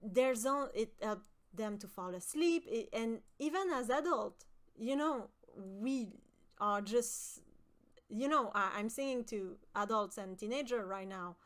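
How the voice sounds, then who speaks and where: A young woman from with a high voice, chatting with her stream viewers and often pausing with fillers. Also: expressive. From Quebec. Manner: hesitant, many fillers and restarts. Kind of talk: casual chat with stream viewers.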